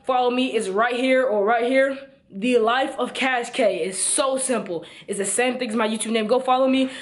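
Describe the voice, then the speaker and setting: A teenage boy with an American accent, chatting casually and slangily to the camera as a vlogger. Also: very high voice. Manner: slangy, informal. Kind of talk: casual vlog chat to camera. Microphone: camera at arm's length.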